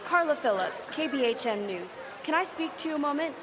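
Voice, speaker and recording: A persistent female field reporter who narrates the scene in a brisk, broadcast-style delivery. Her voice sits a little high, and the live stage recording carries steady background noise.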